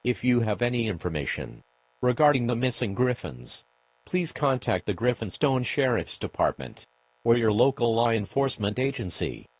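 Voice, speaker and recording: A man delivering a formal scripted emergency broadcast as an announcer, solemn, measured and authoritative. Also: mid-range voice, very animated, no room sound. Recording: dull lo-fi broadcast audio.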